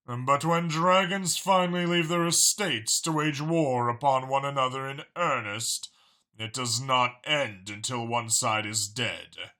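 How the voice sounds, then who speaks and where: Audiobook dragon spymaster, male actor: mild-mannered yet ruthless, formal diction, forceful edge, very animated pitch. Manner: articulate, formal, matter-of-fact about killing. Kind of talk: audiobook character dialogue.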